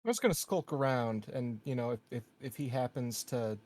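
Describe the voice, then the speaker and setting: A male tabletop player chats casually during a game. His medium-pitched voice is a little hesitant, with a dry, offhand delivery. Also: animated, brisk, darkish tone.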